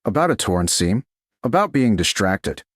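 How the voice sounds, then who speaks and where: A man voicing a composed, quietly challenging noblewoman in a romance audiobook, with clipped, quiet delivery. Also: on the low side, big pitch swings, normal speed, dry recording, clean studio sound. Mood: defiant, guarded. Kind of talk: audiobook character dialogue.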